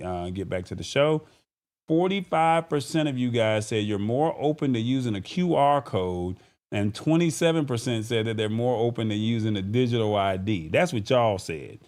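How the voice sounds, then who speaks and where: A man's voice: fairly low pitch, a little mellow. A man hosting a live-streamed talk show in an informal, conversational way, speaking directly to viewers. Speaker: show host.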